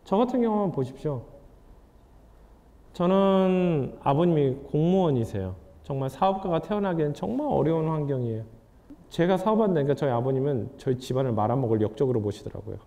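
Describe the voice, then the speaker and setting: Animated man lecturing in a reverberant hall. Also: fairly high pitch, a little fast. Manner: asks the audience questions, conversational and candid. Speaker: author giving a lecture. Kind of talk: lecture to an audience.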